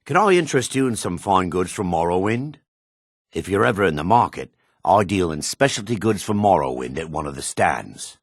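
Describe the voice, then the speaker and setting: An actor playing a shifty, greedy dark elf merchant and foreman in a fantasy video game, brusque and sly, with a raspy voice. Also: sits low, big pitch swings, normal speed, no room sound, clean studio sound, full character voice. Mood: sly salesmanship.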